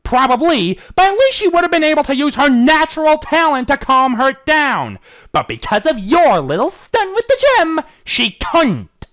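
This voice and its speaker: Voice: high-pitched, very animated, normal speed, fairly bright tone, voice pushed up. An amateur reader voicing a stern, angry stallion prince and protective older brother, accusatory and emphatic, in a fan fiction reading. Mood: accusing.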